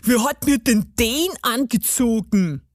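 A woman's voice: a little high, animated, slow, no room sound, studio quality. Woman putting on a grumpy, cheeky garden gnome. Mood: indignant. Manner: scolding, gruff colloquial speech. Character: grumpy, cheeky male garden gnome, self-styled bandit.

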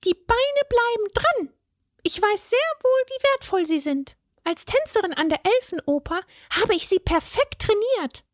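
A tiny female elf, a dancer, squeaks and scolds in a piercingly high voice. She is indignant but soft-hearted, snapping sharp little reproaches. The sound is close and dry. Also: expressive, a little slow, no room sound. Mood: scolding.

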